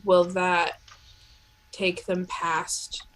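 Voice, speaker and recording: A woman's voice: on the low side, level tone, extremely slow, slight background noise. A woman playing a tabletop role-playing game, chatting casually as she describes what her character does.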